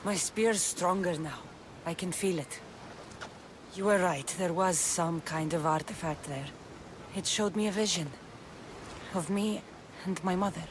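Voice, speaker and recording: A woman's voice: lowish voice, unhurried, long pauses, background noise, lightly acted. An actress voicing a determined young female mercenary hero, firm and earnest in a video game cutscene. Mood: resolute. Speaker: game protagonist. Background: music.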